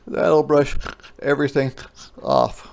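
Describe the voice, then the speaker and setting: Man as a helpful pig, raised pitch, unhurried, deliberate, storybook character. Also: big pitch swings, exaggerated voice. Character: helpful pig offering an idea.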